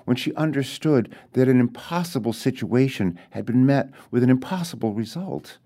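A man reading his own short story as literary narration, deliberate and with dramatic pauses. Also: mid-range voice, animated, warm tone.

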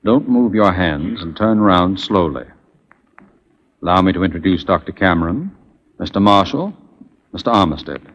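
An actor playing a cunning Confederate officer in 1940s radio style, formal and smoothly menacing. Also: male voice, deep voice, animated, normal speed, long pauses, a bit bright. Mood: coolly menacing. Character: cool, courteous, cunning Confederate spy officer. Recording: muffled, noisy 1940s radio recording with clicks.

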